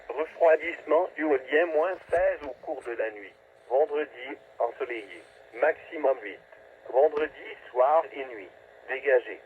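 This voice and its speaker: A man reading a scripted weather forecast as a radio announcer, in a clipped, segmented, automated delivery. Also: medium pitch. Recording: tinny phone-like weather radio, static noise.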